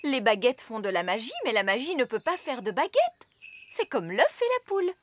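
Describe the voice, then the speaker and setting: A woman voicing a bossy, self-assured fairy nanny in a cartoon dub, with a brisk, theatrical manner. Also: a little high, sing-song. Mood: matter-of-fact.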